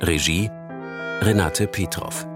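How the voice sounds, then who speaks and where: A male radio announcer reading the credits of a radio play in a measured, formal way. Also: deep voice. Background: loud piano music.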